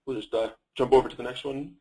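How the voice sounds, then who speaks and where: Husky Canadian man giving a webinar. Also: mid-range voice, fairly even intonation, fast talker, darkish tone, low-quality recording. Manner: hesitant, many fillers and restarts. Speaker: company representative presenting a webinar. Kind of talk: webinar product presentation.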